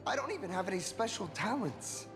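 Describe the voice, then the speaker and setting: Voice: medium pitch, big pitch swings, a little fast. A performer voicing an earnest, insecure young would-be hero in a video game, speaking hesitantly. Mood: downcast, self-doubting. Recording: long reverb, music behind.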